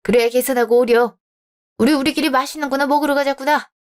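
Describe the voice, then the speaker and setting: A stern middle-aged father who is fiercely protective of his daughter, read by a woman in a radio drama. He talks curtly, in a voice that stays on the high side. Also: steady tone, fast, natural voice.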